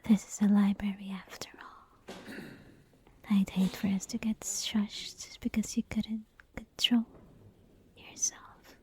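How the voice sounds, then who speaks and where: A woman's voice: medium pitch, flat delivery, slow pace, long pauses, warm tone, natural voice. A woman playing a flirty, possessive college classmate who teases the listener in an intimate, mostly whispered ASMR roleplay. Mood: flirty teasing. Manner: teasing, breathy, mostly whispered, giggly.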